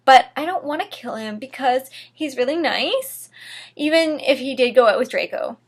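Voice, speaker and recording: Lady putting on an angsty goth teen girl, high voice, super dramatic and indignant, comedy story reading. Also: expressive, moderate pace. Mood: angry, anguished. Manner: overwrought, indignant. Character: melodramatic, foul-mouthed, angsty goth teenage girl.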